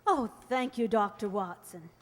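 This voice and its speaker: A woman's voice: medium pitch, unhurried, lightly acted. A woman playing a sweet, flighty young aristocratic bride in an amateur radio play. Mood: sweet, flustered. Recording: reverberant hall-like radio play recording.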